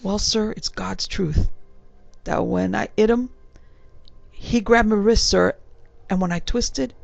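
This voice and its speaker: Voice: medium pitch, steady tone, slow pace, no echo, voice pushed up. A reader voicing a young male Cockney bellboy who drops his H's and keeps saying sir, blunt and uneasy. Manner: dropped H's, rough working-class speech, repeated sirs.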